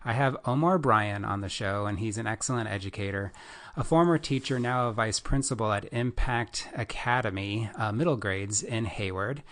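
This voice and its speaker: A man's voice: on the low side, normal speed, fairly bright tone. A man hosting an interview podcast, introducing his guest in an upbeat, friendly way. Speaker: podcast host. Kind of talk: casual interview.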